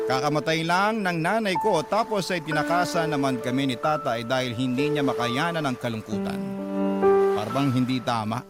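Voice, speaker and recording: A man reading a listener's letter as narrator of a radio drama, earnest and somber. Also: a little high. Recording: loud music underneath.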